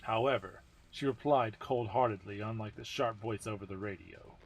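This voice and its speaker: A man's voice: fairly low pitch, normal speed, rough recording. A man narrating a game story as an amateur dramatic reading, with informal self-deprecating asides.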